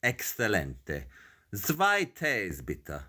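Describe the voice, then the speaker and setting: Shrewd, intense gentleman detective, lowish and sharp, dry and deliberate, for a radio play. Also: huge pitch swings, exaggerated voice. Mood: dry, assured. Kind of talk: audio drama acting.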